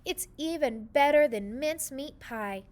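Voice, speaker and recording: Lady doing a comforting mama fox for a kids book, high, sighy and singsong. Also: animated, measured pace. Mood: content, reassuring. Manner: soothing, sighing. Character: contented, reassuring mother fox.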